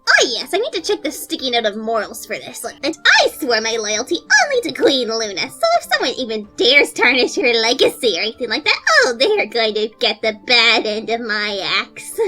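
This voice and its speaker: A woman's voice: high voice, bright, forceful tone, heavily acted. A woman playing a gleefully menacing, grandiose royal executioner in a cartoon skit, with a pompous, theatrical, mocking delivery. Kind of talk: hobby animated skit voice acting.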